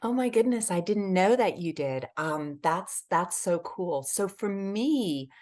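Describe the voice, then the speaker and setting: A woman's voice: on the low side, expressive, takes it slowly, a little mellow. A woman from Switzerland and England talking effusively and emphatically as a guest in a conversational interview. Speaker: podcast guest, health advocate.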